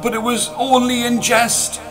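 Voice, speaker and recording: A man's voice: extremely high pitch, level tone, measured pace, music in the background, voice pushed up. A man voicing a proud, sorrowful male planet in a storybook tale, indignant, scornful and tearful. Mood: bitter, ashamed. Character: proud, angry, sorrowful male messenger planet, swift and guilty. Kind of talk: storybook character voice.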